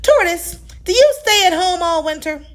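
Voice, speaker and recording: A woman's voice: very high-pitched, expressive, normal speed, soft background music, voice pushed up. A woman voicing a sweet, eager but easily worried young girl hippo in a children's storybook. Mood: excited, eager. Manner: childlike, exclaiming.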